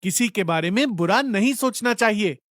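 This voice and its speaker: A man's voice: sits high, animated, moderate pace, fairly bright tone, dry recording, studio quality. A man narrating a scripted moral story for children as a storyteller.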